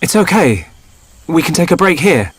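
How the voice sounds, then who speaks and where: An actor playing an earnest, protective young hero in a video game cutscene, speaking with sincere intensity. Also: male voice, a little high, big pitch swings, normal speed, husky, no echo. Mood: brooding, worried.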